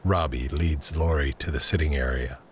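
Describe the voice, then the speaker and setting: A man narrating an audio drama in a measured, descriptive, scripted screenplay style. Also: extremely low pitch, steady tone, a little hiss or hum.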